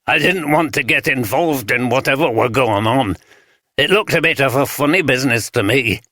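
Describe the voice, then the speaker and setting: A man voicing a wary, scruffy homeless man with a broad Yorkshire accent, gruff and guarded. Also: mid-range voice, pushed and bright, dry recording, clean studio sound, voice pushed up, natural voice. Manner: gruff, guarded, blunt dialect speech.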